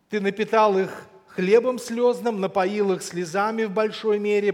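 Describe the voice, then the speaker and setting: A man preaching a sermon in a solemn, deliberate manner, as a preacher addressing a church conference. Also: high-pitched. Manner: solemn, deliberate, with quotations and scripture; frequent throat clearing. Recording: long hall reverb.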